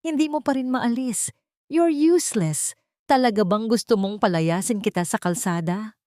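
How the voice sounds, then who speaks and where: A woman's voice: a little high, moderate pace, dark tone, no echo, studio quality, voice pushed up, lightly acted. A woman voicing a haughty, cruel young wife with a Filipino accent, scornful and sneering, in an audio drama. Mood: scornful, angry.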